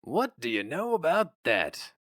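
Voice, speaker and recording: A man's voice: fairly high pitch, very animated, unhurried, a bit bright, no room sound, studio quality, pitched above the performer's own voice. A man voicing a talkative, kindly old company veteran, folksy and wistful. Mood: wondering, nostalgic. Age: older.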